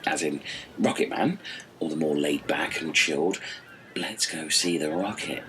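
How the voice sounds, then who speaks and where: A man playing a dazed, dreamy castaway in a comedy audio sketch, rambling haltingly and repeating words. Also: rumbling low, background noise. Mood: sheepish, rambling.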